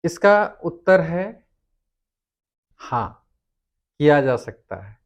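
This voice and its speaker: A man giving a formal explanatory lecture on law, speaking deliberately in short, halting phrases as a presenter. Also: a little high, big pitch swings, dull audio.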